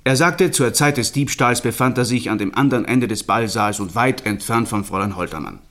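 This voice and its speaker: An actor playing an eager, dutiful, slightly slow police sergeant as the comic sidekick in a 1960s German radio crime drama. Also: male voice, mid-range voice, a bit bright, lightly acted. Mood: dutifully reporting.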